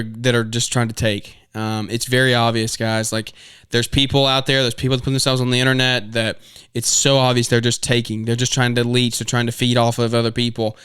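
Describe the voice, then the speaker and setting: Earnest young man, smooth dry voice. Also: mid-range voice, a bit bright, no room sound. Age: 20s. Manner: earnest, rambling with many restarts and fillers. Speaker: podcast host. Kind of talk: casual advice monologue.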